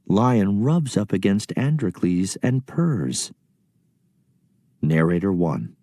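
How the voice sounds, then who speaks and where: A man's voice: sits low, sing-song, slow, warm tone, no echo, studio quality. A man narrating a scripted children's play, reading out stage directions and story.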